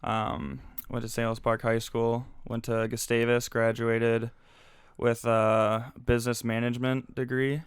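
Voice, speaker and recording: Relaxed young man, smooth dry voice. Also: medium pitch, measured pace, no room sound. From Minnesota, USA. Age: 20s. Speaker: podcast guest. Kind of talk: casual chat.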